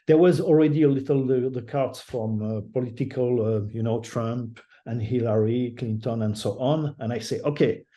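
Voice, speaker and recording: An older man with a French accent, a native French speaker, talking as an interview guest in a halting way, often restarting his phrases. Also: mid-range voice, warm tone. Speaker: guest artist. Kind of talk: casual interview over a call.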